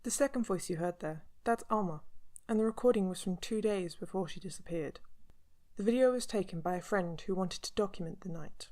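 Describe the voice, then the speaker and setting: A woman playing the serious host of a true-crime mystery show, giving measured, ominous scripted narration. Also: mid-range voice, mellow, lightly acted. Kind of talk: scripted narration in a radio drama. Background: music under the voice.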